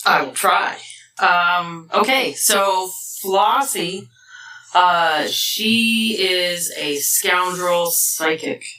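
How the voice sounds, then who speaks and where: A woman in a casual tabletop game chat, pausing to think as she introduces her character. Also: lowish voice, pushed and bright. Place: indoor room, group around a table. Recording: echoey, distant mic, faint noise.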